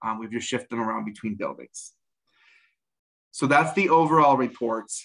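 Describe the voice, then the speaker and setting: Forties male administrator, steady explanatory delivery with many fillers, webcam audio from home. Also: mid-range voice. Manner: measured, frequent um and uh fillers. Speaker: school district administrator. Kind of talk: meeting report. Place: home room, indoors. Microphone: video call webcam.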